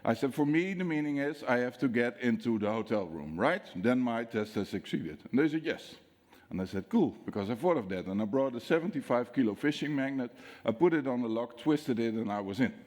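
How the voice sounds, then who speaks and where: A man's voice: mid-range voice, fairly quick. A man from the Netherlands with a Dutch accent, giving a conference talk on security, acting out dialogue and quizzing the audience. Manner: lively storyteller, acts out dialogue, asks audience questions. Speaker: security speaker. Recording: long hall reverb.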